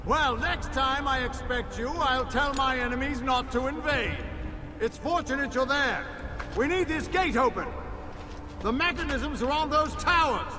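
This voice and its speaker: An actor playing a battle-hardened warrior prince in a video game, forceful, sardonic and used to command. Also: male voice, very high voice, a little slow. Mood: sardonic, urgent.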